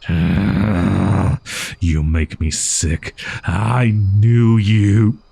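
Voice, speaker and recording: A man's voice: sits low, steady tone, very slow, vocal fry, warm tone, slightly rough, exaggerated voice. A man voicing a proud, easily flustered young wolf-boy in an audio roleplay, speaking in haughty, archaic, formal phrasing. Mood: indignant disgust.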